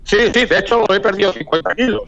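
A Castilian-accented man in his forties, a talkative hotel owner speaking in a fairly high voice. He stumbles and repeats words as he talks. The audio is dull and lo-fi, as broadcast on the radio. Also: quick pace, vocal fry, a bit bright. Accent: Castilian Spanish. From Madrid, Spain. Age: 40s. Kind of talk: casual radio interview.

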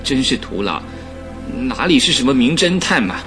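A man's voice: mid-range voice, very animated, normal speed, over music, lightly acted. A man playing a spoiled, impatient young nobleman in a radio drama, fussy and demanding. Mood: indignant. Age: young adult.

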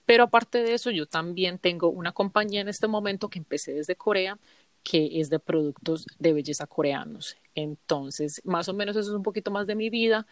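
Colombian woman in her 30s chatting on a podcast, kinda low voice, dry sound. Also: brisk, no echo. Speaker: podcast co-host. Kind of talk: casual conversational podcast intro.

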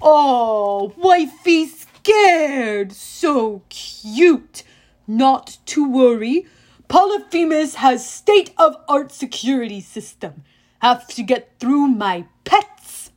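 A menacing male Cyclops, put on by a woman reader, thunders his short, broken phrases slowly and loudly. He sounds huge and full of pride in himself. It is a storybook giant, and every word comes out heavy. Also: animated, exaggerated voice. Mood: gloating. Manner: broken clipped grammar, slow and booming. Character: huge proud one-eyed male Cyclops, boastful and menacing. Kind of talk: audiobook character reading.

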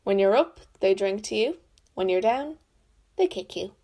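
A woman storyteller with an Irish accent, reading out proverbs in a deliberate, measured recitation with pauses. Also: mid-range voice, lively intonation, warm tone. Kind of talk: proverbs read aloud in Irish and English.

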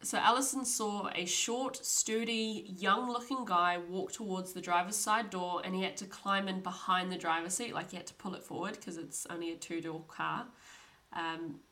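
A woman's voice: lowish voice, fairly even intonation, moderate pace, pushed and bright. A young woman hosting a podcast, telling a true crime story in a chatty, casual way with frequent laughs. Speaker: podcast host.

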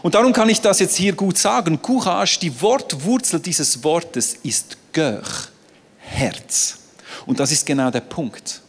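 A man whose first language is French, preaching a sermon on a stage in a playful, animated way. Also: on the high side, slow, slightly rough. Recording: reverberant hall.

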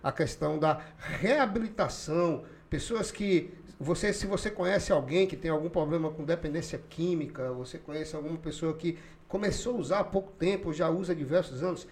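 A man hosts a relaxed podcast chat, his voice sitting a little above the usual male range. He talks in a loose, repetitive way and often echoes what his guest has just said. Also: a little high, fairly quick. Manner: chatty, repeats and echoes the guest's answers. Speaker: podcast host. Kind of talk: casual podcast interview.

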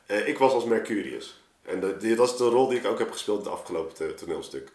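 A man telling anecdotes in an informal, animated way, as in a casual podcast chat. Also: fairly low pitch, fast. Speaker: podcast guest, artist telling of a project. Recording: roomy, echoing room sound.

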